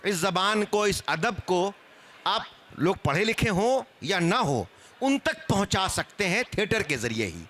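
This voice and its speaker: A man's voice: high-pitched, very animated, a little slow, a bit bright. A man speaking as a panelist in a discussion, explaining in a scholarly way. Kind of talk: spoken panel discussion on theatre history.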